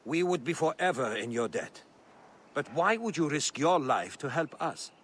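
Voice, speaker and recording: An actor playing an earnest, educated Greek politician in a video game. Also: male voice, fairly high pitch, sing-song, normal speed, fairly bright tone, a little hiss or hum. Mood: grateful, dry.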